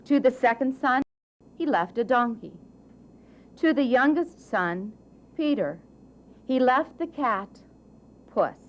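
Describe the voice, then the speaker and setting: A woman with a high voice tells a children's story. She goes slowly and deliberately, swinging her pitch widely and phrasing for drama. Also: animated, slight background noise. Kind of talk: scripted children's story narration.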